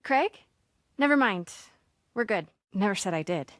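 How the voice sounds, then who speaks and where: A young American woman reporter in a video game, played by an actress. She is determined and caring, with a wry, conversational delivery and thoughtful pauses. Her voice sits at a medium pitch in a very dry, close recording. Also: animated, dry recording, close to an everyday voice. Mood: uneasy. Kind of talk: naturalistic video game voice acting.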